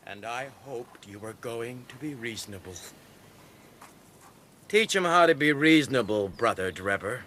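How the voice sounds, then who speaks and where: An actor playing a pompous, menacing young religious zealot in a radio drama, speaking formally. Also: male voice, medium pitch, lively intonation, normal speed, fairly bright tone, close to an everyday voice. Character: pompous, menacing young church elder's son, pious suitor.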